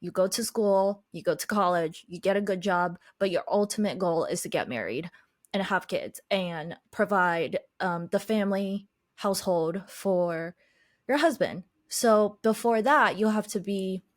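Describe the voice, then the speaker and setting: A woman guest telling her life story in an interview, reflective and unhurried, whose first language is Chinese. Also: medium pitch, no room sound, studio quality. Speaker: podcast guest, life coach.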